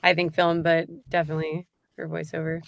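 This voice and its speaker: A woman's voice: low voice, monotone, a little fast, vocal fry, no echo, clean studio sound. A woman chatting casually as a panel guest, informal and acting out little bits of imagined dialogue.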